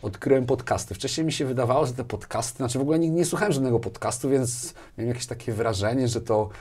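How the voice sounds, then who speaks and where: A middle-aged man with a mid-pitched voice chats as a guest in an interview. He talks casually and repeats words while he searches for the next thought. He is recorded on a lapel mic in a small indoor studio. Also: quick pace, slightly rough. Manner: animated hand gestures, repeats words as he thinks.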